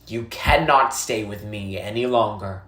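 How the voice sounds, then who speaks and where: A storybook narrator voicing a reserved, sympathetic old village woman who speaks plainly and gravely. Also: mid-range voice, measured pace, a bit bright, voice pushed down, close to an everyday voice. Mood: sympathetic, firm. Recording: echoey, roomy sound.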